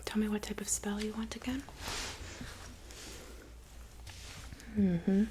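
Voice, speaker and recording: A woman playing a calm, helpful woodland witch in a soft, whispered ASMR roleplay, unhurried and murmuring. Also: medium pitch, a little hiss or hum, close to an everyday voice. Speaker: ASMR performer.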